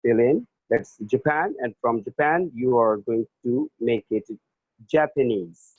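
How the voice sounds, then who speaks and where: A man's voice: mid-range voice, a little slow, no room sound. A male teacher giving a class lesson remotely, repeating each answer and spelling words out letter by letter. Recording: tinny, phone-like lo-fi sound.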